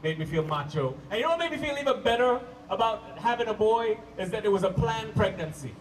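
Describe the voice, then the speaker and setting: High-voiced Canadian stand-up comedian, recorded from afar. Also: male voice, lively intonation, brisk, reverberant. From Toronto, Canada. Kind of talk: stand-up comedy routine to a live audience. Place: live comedy show at a park venue. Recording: distant mic, hissy live-show camcorder sound.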